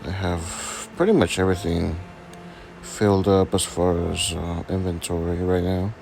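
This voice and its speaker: A man with a raspy voice from being sick, hesitantly walking through his game account in a casual screen-recording chat. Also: deep voice, steady tone, unhurried, darkish tone, a little hiss or hum. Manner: hesitant, many ums and restarts. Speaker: gaming channel host.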